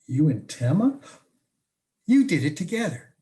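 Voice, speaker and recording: A woman's voice: low-pitched, big pitch swings, measured pace, warm tone. A woman acting a prying, incredulous close friend in a conversational comic one-act, with teasing, probing questions.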